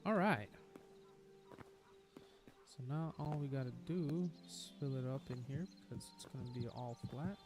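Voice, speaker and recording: A man's voice: medium pitch, moderate pace, long pauses. A man casually rambling through commentary while he plays a building game, with a lingering cough that keeps breaking in. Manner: chatty, rambling and upbeat. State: nagging cough. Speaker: gaming streamer. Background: game music.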